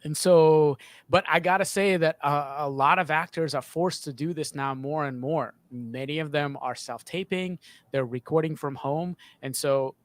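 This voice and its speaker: Halting, informal man explaining his craft. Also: fairly high pitch, no room sound. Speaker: sound designer. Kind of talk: casual explanatory interview talk. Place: home studio with acoustic panels. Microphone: close microphone on boom arm.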